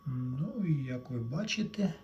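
A man's voice: mid-range voice, expressive, unhurried, darkish tone, lo-fi sound. A man casually narrating a hands-on repair for his viewers, explaining step by step in a plainspoken way. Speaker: electronics repair vlogger. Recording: clearly noisy home-made video recording.

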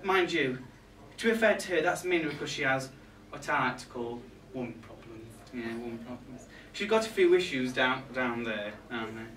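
Resentful, catty male reindeer played by a young man, comic stage monologue, lively intonation, arch asides, heard from across a noisy room. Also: medium pitch, normal speed, hardly any pauses, distant mic, lightly acted. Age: young adult. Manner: indignant, gossipy, arch asides to the audience. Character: catty, resentful male reindeer grumbling about Santa's crew. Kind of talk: comic stage monologue read to a live audience. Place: small pub room with a live audience. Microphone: far camera. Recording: echoey room from afar, background noise.

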